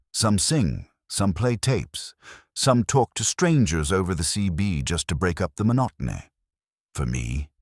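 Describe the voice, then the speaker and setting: Male horror narrator, very deep pitch, raspy edge, suspenseful scripted storytelling, dry close sound. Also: no room sound.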